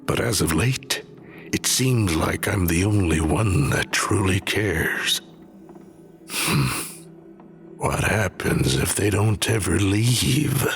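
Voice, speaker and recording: Gruff older man, very low gravelly voice, slow and mournful, audio drama with music underneath. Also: expressive, exaggerated voice. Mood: weary, guarded. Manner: slow, somber, deliberate. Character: grieving older man, gruff, weary haunted-house owner. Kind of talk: scripted audio drama acting.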